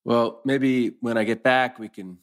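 A man playing an easygoing, loyal, gently wry middle-aged husband at a TV drama table read. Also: mid-range voice, fairly even intonation, measured pace, lightly acted. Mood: earnest, reassuring.

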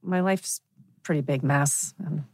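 A woman's voice: low voice, measured pace, noisy background. A woman reading a troubled, wry middle-aged wife venting to a friend at a TV drama table read.

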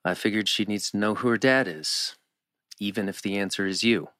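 A man playing an earnest, laconic, protective teenage boy in a drama table read, understated and halting. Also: fairly low pitch, fairly bright tone, dry recording, clean studio sound, natural voice. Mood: earnest, firm.

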